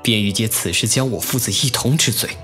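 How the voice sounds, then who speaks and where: An actor playing a proud, teasing and wistful young nobleman officer in an ancient-costume audio drama, with measured classical phrasing. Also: on the low side, over music, natural voice. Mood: grim, recounting. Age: young adult.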